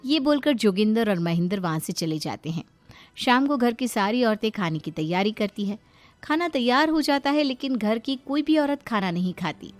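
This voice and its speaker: Woman narrator, high pitch, scripted storytelling, soft background music. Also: lively intonation, moderate pace.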